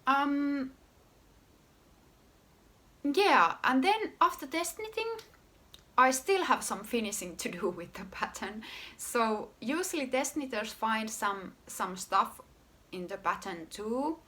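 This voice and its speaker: A woman from Finland with a Finnish accent, hosting her own podcast about her knitwear design work in an unhurried, casual way. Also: a little high, animated, bright, forceful tone. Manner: unhurried, pausing often with fillers and restarts. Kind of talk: casual solo podcast talk.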